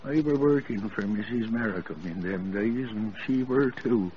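Male actor for elderly English West Country countryman, low, rustic and kindly, old radio drama with dull, noisy sound. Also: unhurried, lightly acted. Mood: sombre, wistful. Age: older. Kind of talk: 1940s radio drama acting.

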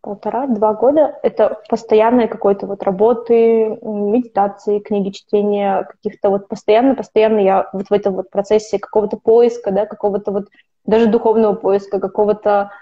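A woman's voice: mid-range voice, fairly even intonation, fairly quick. Woman, twenties, casual podcast guest, rambling with restarts, dull lo-fi sound. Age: 20s. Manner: rambling, frequent restarts and self-corrections. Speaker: podcast guest, opera student. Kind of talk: casual conversational interview. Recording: dull lo-fi recording.

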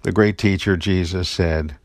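Gravelly low-voiced man giving an uplifting talk. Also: steady tone, unhurried, no echo, clean studio sound. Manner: earnest and encouraging, tells personal stories with chuckles. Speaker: podcast host giving daily encouragement. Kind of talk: inspirational devotional talk.

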